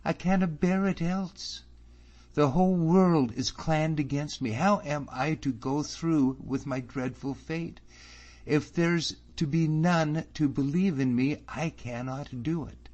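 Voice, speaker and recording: A man's voice: a little high, slow pace, darkish tone, pitched above the performer's own voice. A man reading an audiobook, voicing an earnest young man who pleads anxiously, close to his own voice. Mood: pleading. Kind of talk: audiobook character voice. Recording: slightly muffled recording.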